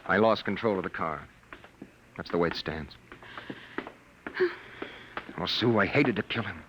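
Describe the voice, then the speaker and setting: An actor playing a tense young husband in a 1950s radio drama, urgent and firm. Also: male voice, low-pitched, unhurried. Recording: old radio broadcast, hissy.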